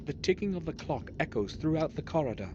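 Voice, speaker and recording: An actor playing a matter-of-fact protagonist who observes an old castle aloud in a video game. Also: male voice, a little high, animated, fast, lightly acted. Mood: observant. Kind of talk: scripted video game narration. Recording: muffled, very noisy game audio.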